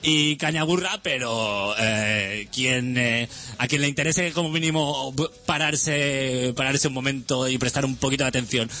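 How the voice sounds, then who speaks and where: Bright-voiced man, halting radio chat. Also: mid-range voice. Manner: hesitant, full of "eh" fillers and restarts. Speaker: radio music show host. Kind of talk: casual rambling radio chat.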